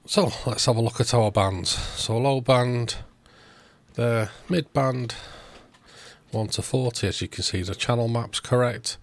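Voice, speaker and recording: A man hosting a repair video, talking through the work step by step in short, unhurried phrases. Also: medium pitch. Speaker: host of electronics repair videos. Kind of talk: narrated repair walkthrough.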